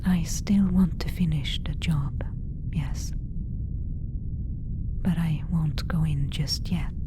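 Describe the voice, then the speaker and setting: A woman playing a caring, protective spaceship rescue pilot in a soft, breathy whisper for an ASMR sci-fi roleplay. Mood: relieved, thoughtful. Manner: soft, gentle, slow and deliberate. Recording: clear background noise, low rumble.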